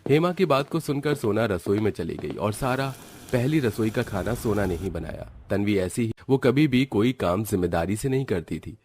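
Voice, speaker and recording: Guy with a low voice reading out a story, some noise and clicks behind. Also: animated, moderate pace, a little mellow. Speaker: narrator. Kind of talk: scripted storybook narration.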